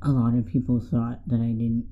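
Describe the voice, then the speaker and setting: A young man co-hosting a casual podcast, talking haltingly with many fillers and restarts. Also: mid-range voice, flat delivery. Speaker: podcast co-host. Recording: tinny phone-like sound, faint music.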